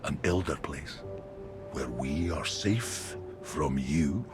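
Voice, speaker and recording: An actor playing an old, weary, grim werewolf in a video game, with a gravelly breathy voice and slow, ominous, archaic phrasing. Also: male voice, extremely low pitch, animated, music in the background, exaggerated voice. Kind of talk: video game dramatic monologue.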